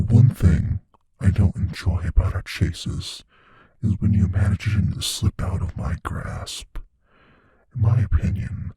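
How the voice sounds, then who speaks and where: An actor voicing a playful, possessive, menacing shape-shifting monster in an intimate audio roleplay, musing slowly in a husky, breathy near-whisper. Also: male voice, lowish voice, warm tone, no echo. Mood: musing displeasure. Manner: slow, musing, savoring pauses.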